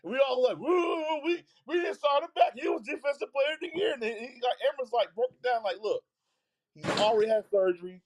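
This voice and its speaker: A man co-hosting a casual basketball live stream, talking in an animated way and repeating himself as he builds a point. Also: extremely high pitch, brisk, poor audio. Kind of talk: casual sports talk.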